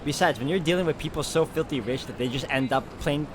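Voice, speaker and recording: A man hosting a game walkthrough, reading story narration aloud in an expressive, conversational way with casual asides. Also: fairly high pitch, fast, noisy background. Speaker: video game walkthrough host.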